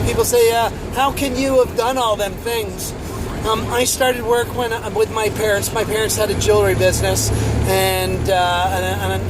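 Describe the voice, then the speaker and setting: A man in his fifties, a bishop, talking in a rambling, reflective way with many restarts while walking outdoors and filming himself on a phone. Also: sits high. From Canada (Ontario). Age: 50s. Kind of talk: casual vlog monologue. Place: outdoors on a winter street, walking. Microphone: handheld phone camera. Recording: loud outdoor traffic noise.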